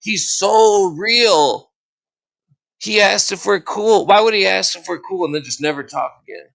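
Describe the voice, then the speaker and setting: A man's voice: high-pitched, measured pace, vocal fry, fairly bright tone, no echo. A man hosting a podcast, arguing in a combative, profane and blunt way, often cutting in over the other person. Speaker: podcast host. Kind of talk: heated argumentative livestream chat.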